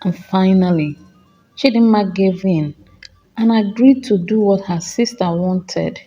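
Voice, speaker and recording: A woman tells a folktale as a scripted story. She takes her time, speaking slowly in short, measured phrases with a dramatic storyteller's delivery. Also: mid-range voice, warm tone, breathy edge, lo-fi sound, faint music behind.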